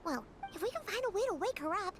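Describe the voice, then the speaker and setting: A tiny childlike fairy girl, extremely high-pitched and very animated. She is excitable and blunt, a video game sidekick over music. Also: fast talker, full character voice. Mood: earnest. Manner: exclamatory, blunt, chirpy.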